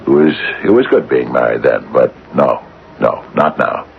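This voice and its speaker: A very deep-voiced anxious older businessman. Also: lively intonation, creaky, full character voice. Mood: anxious, pleading. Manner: hesitant, halting, stammering. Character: anxious, wrought-up retired businessman, nearly sixty. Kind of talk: radio drama acting. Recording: dull, noisy vintage radio recording.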